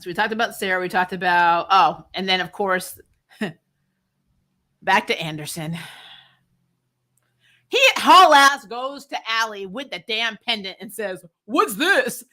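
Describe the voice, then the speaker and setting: A woman hosting a recap show, giving casual, opinionated TV review chat in an animated, blunt, exasperated way with plenty of swearing. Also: mid-range voice, measured pace, long pauses. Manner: animated, exasperated, blunt and profane, mock-scolding; laughs often while talking.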